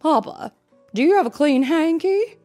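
A woman's voice: high-pitched, expressive, moderate pace, darkish tone, faint music behind, pitched above the performer's own voice. A woman voicing a caring, sensible mother bear in a children's storybook, emphatic and instructive. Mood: brisk, directing.

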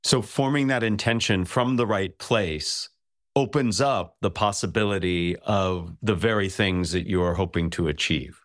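A male podcast host with a somewhat low voice asks questions in an appreciative, inviting manner. The recording is dry, with little room sound. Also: unhurried, no room sound. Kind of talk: podcast interview.

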